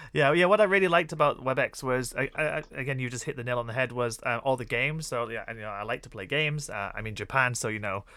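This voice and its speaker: Male podcast host, northern English accent, chatty and quick to laugh, middle pitch. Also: bright, forceful tone, no room sound, clean studio sound. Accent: Northern English (Yorkshire). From northern England. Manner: friendly, chatty, laughs easily. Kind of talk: casual interview chat.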